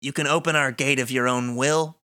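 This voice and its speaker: A menacing dark spirit from a video game, voiced by a man reading aloud. The voice is forceful and crisp-edged at a middle pitch. It speaks in formal, arrogant threats, coldly commanding. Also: fairly even intonation, a little fast, lightly acted. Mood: threatening.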